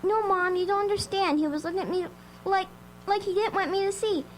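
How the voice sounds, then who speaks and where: A woman's voice: very high-pitched, fairly quick. An actress playing a frightened, defensive young girl in a live radio drama, speaking in hesitant, pleading bursts. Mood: shaken, defensive. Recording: noisy live radio recording, faint hum.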